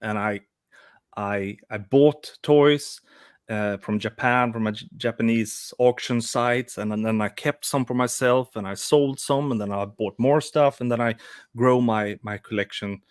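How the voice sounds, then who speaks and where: A man's voice: medium pitch, unhurried, smooth, no room sound, studio quality. A man in his forties, a guest on a live stream, chatting casually with frequent restarts and hesitations. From Sweden. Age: 40s. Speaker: guest, toy customizer.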